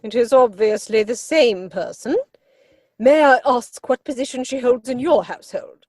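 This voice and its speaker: A woman performs a haughty older noblewoman in a comic stage play. Her voice is fairly high with a husky edge, recorded dry and close. She delivers each pronouncement with pompous, commanding weight. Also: normal speed, darkish tone, no echo, natural voice. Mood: disapproving. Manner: pompous, commanding, pointed emphasis.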